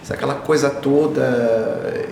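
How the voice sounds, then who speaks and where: A man's voice: medium pitch, measured pace, vocal fry, background noise. Reflective man in an echoey room. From born in Israel, raised in Brazil. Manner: thoughtful, circling back and repeating phrases, animated when telling stories. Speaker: children's author, interview guest. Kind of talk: reflective interview talk.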